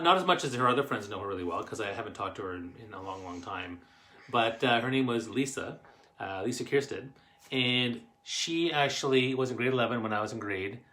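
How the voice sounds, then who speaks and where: A middle-aged Canadian man chats to camera as he hosts a family show. His voice is bright and forceful. He tells personal stories in a halting, rambling way, circling back as he goes. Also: medium pitch. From Canadian Maritimes. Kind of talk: casual personal storytelling to camera.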